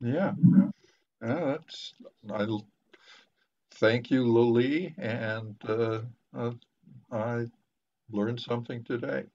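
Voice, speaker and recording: An older man speaking as a professor leading a literary discussion, halting and deliberate, with long pauses, over a video call. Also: mid-range voice, a little mellow. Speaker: professor moderating a book discussion. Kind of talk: literary discussion, lecturing informally. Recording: muffled, dull video call audio.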